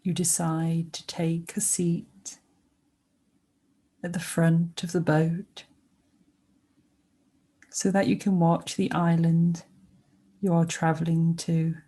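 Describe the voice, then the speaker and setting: A woman guiding a sleep meditation with soothing narration, speaking gently and unhurriedly with pauses between short phrases. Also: on the low side, little pitch movement, slightly rough. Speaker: meditation guide.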